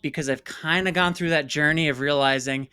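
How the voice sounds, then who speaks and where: A man's voice: a little high, steady tone, fairly quick, pushed and bright, clean tone, dry recording. An American man speaking earnestly and emphatically as an interview guest, telling reflective personal stories and repeating words for stress. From United States. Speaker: interview guest, documentary filmmaker. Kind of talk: reflective personal storytelling in conversation.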